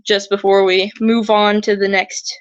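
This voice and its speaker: A young woman talks loosely and eagerly, stopping, restarting and filling gaps with "like" and "um". Her voice has gone raspy and a bit shaky. The sound is dry and slightly dull, as though from a cheap home mic with no room sound. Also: medium pitch, flat delivery, unhurried, dry recording. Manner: chatty, rambling with many fillers and restarts. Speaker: livestream host. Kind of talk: casual educational livestream talk.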